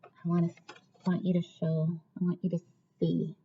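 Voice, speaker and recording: A woman reading a storybook aloud to children like a teacher, pausing to ask listeners questions. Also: on the low side, monotone, gravelly, slightly rough. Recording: dull, lo-fi sound.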